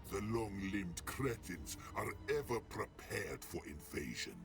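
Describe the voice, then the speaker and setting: An actor playing a haughty undead vampire pirate count, menacing and grandiose, in a pompous theatrical manner over game music. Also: male voice, big pitch swings, measured pace, heavily acted. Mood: grandiose menace. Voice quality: deep gravelly voice. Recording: game cutscene with loud music.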